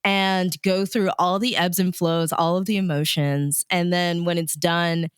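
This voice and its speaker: A woman's voice: fairly low pitch, steady tone, a little slow, clear, resonant tone, no echo, studio quality. A woman radio DJ answering questions as a guest in a casual, chatty, informal interview.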